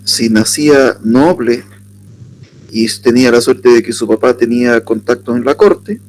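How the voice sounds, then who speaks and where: A man from southern Chile with a Chilean accent, giving an unhurried workshop lecture and asking his audience questions. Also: mid-range voice, a little mellow, slight background noise, poor audio. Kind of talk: lecture on surnames.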